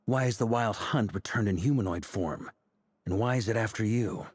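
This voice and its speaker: An actor playing a seasoned, easygoing knight commander in a video game, self-assured and casual with a wry streak. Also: male voice, lowish voice, normal speed, noisy background, close to an everyday voice. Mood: casual, serious.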